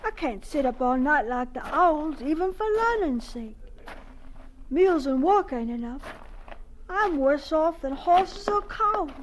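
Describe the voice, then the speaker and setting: Very high-voiced homeless farm boy. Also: lively intonation, measured pace, a little hiss or hum, rough recording. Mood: worried, forlorn. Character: homeless ten-year-old farm boy, earnest, pleading, timid. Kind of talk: radio drama acting.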